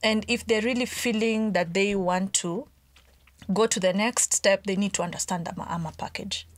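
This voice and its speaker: A woman's voice: mid-range voice, normal speed, no echo. Assured woman, close and dry. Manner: candid, assured, laughs often. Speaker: podcast guest. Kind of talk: casual chat.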